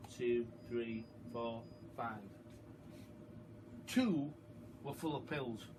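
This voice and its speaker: A man reading a story aloud to children like a primary school teacher, expressive, deliberate and emphatic. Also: mid-range voice, a little hiss or hum, rough recording. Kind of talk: storytime read-aloud narration.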